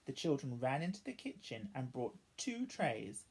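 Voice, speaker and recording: A woman with a low, husky voice reads a bedtime story for children. Her delivery is expressive and animated, with plenty of rise and fall as she moves between narration and characters. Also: moderate pace. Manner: animated, acting out each character's dialogue.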